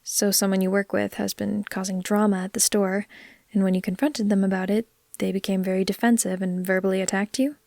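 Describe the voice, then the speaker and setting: A woman playing a caring, gently teasing vampire in a soft, unhurried, comforting ASMR roleplay, sometimes slipping toward a whisper. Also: medium pitch, little pitch movement, creaky, no room sound, clean studio sound, close to an everyday voice. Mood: sympathetic.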